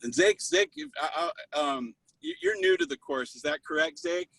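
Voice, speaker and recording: Male host, effusive and sentimental, animated delivery with a husky edge, informal video-call chat. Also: high-pitched, moderate pace, low-quality recording. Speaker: host of an online spiritual study group.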